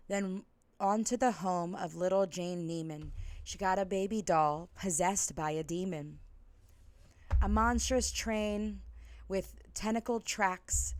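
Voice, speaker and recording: Lady doing storytime on a stream, takes it slow, kinda theatrical, dry sound. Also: medium pitch, dry recording. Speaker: storyteller reading for a live stream. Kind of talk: expressive story reading and casual chat.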